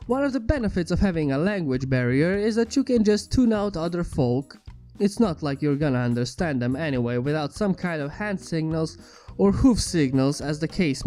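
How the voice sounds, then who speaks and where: A man with a notably high, soft-toned voice narrates a first-person tale. His delivery is wry and theatrical, and music plays underneath the reading. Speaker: fan fiction narrator.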